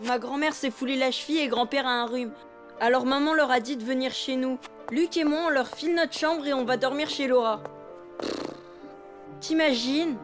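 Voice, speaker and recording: A woman's voice: high-pitched, moderate pace, faint music behind, close to an everyday voice. A woman voicing a grumbling, sporty young elephant boy in a French cartoon. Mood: casual scheming.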